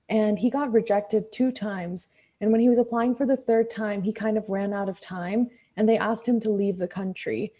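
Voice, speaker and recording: Young woman born in india, warm soft voice, upbeat and chatty about her startup. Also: medium pitch. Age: 20s. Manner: enthusiastic, upbeat. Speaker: startup co-founder. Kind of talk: panel interview answers.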